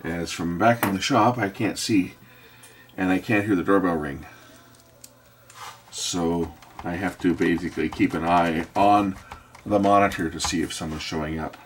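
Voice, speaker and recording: A man's voice: low voice, normal speed. A man giving casual, hands-on repair commentary, thinking aloud and muttering to himself as he works in an indoor workshop. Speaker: electronics repairer hosting a repair video. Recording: faint steady background noise.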